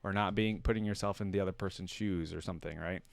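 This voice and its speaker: A man's voice: low-pitched, fairly even intonation, quick pace, creaky, no room sound. A man hosting a reaction video, reading aloud and commenting casually.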